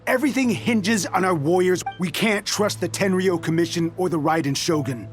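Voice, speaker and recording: Male actor as an embittered young warrior, high male voice, intense, emphatic, defiant, anime game dialogue, music behind. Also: normal speed, close to an everyday voice. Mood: defiant, persuasive. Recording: music under the voice.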